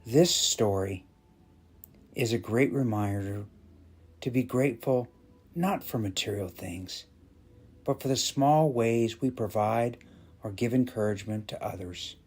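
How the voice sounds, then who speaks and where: A man's voice: lowish voice, measured pace, long pauses, a little mellow, husky. A man narrating a scripted short story as the storyteller.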